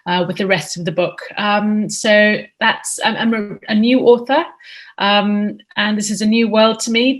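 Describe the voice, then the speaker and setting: A woman's voice: medium pitch, fairly bright tone. A woman in her thirties from Zimbabwe, an author answering interview questions in a chatty way with many ums.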